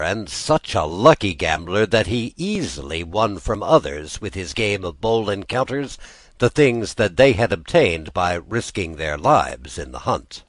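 Low-voiced American man reading with lively intonation. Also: no echo. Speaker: volunteer audiobook reader. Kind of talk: scripted storybook narration.

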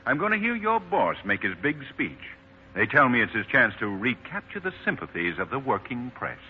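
An actor playing a blunt, loyal foreign-news journalist, probing and conversational, in 1940s radio drama. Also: male voice, medium pitch, sing-song, normal speed, slight background noise, lightly acted. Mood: let down. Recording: dull vintage 1940s radio broadcast.

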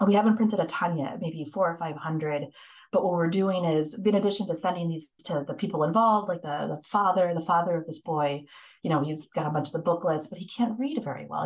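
A middle-aged woman reporter telling her story in a casual interview, quick and animated, with many restarts. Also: lowish voice, rough recording. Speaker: investigative reporter, guest.

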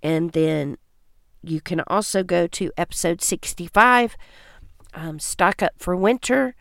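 A woman's voice: lowish voice, animated, unhurried, vocal fry, fairly bright tone, no echo, clean studio sound. A woman hosting a casual homesteading podcast, congested from allergies, chatting in a folksy, friendly way. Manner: folksy, chatty, says y'all. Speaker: podcast host.

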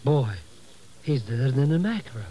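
An actor playing a jittery, slangy comic sidekick with an excitable, whining manner in a 1950s radio drama. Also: male voice, medium pitch, moderate pace, natural voice. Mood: indignant. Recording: noisy old 1950s radio recording.

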